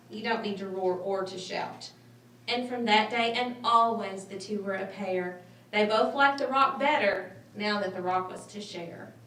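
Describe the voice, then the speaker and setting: A woman reading a children's story aloud with expressive, dramatic phrasing. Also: on the high side. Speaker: storybook reader. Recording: roomy, distant mic, faint noise.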